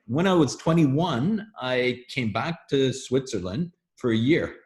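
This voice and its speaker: A middle-aged man who grew up in Montreal, speaking on a video call in a relaxed, upbeat way. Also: medium pitch, a little slow. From Montreal, Canada. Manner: relaxed and upbeat, with some fillers. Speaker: program manager. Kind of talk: casual webinar conversation. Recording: roomy echo on a video call.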